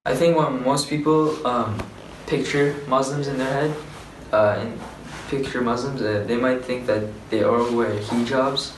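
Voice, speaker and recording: A teenage boy from Azerbaijan, a high school student who answers hesitantly with many uhs. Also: fairly even intonation, measured pace, reverberant, distant mic, noisy background.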